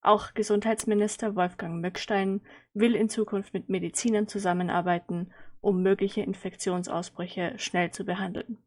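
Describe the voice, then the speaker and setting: A composed female newsreader played by a woman with a medium-pitched voice. She delivers a formal, scripted television bulletin. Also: steady tone, moderate pace, close to an everyday voice.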